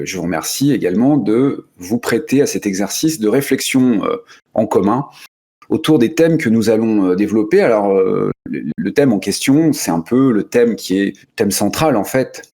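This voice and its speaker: A man's voice: on the low side, darkish tone, slightly rough. A male host opening a radio discussion show, speaking with frequent hesitations and restarts. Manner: hesitant, many fillers and restarts.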